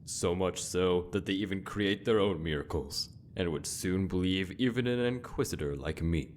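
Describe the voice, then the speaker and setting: A man's voice: sits low, a little mellow, slight background noise, natural voice. A man playing a grave, self-righteous inquisitor in a fantasy game, speaking in solemn, dramatic rhetoric. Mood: solemn, accusing. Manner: solemn, deliberate, rhetorical speechmaking. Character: zealous old male inquisitor, grave, self-righteous, cracking with anguish.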